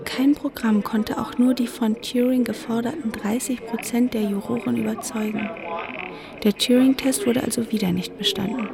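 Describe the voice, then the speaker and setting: A woman's voice: on the high side, fairly even intonation, normal speed, gravelly, crowd noise. A woman playing an inquisitive guide who narrates a scripted radio drama. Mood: inquisitive, explanatory.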